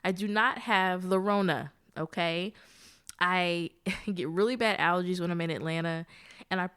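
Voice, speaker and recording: A woman's voice: on the low side, moderate pace, a bit bright, clear, resonant tone. A woman hosting a solo podcast, talking to listeners like an old friend. Her voice sounds nasal and stuffed up from blocked sinuses. The delivery is chatty and easygoing, with friendly asides and easy laughs. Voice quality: nasal from allergies. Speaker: podcast host. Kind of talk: casual solo podcast chat.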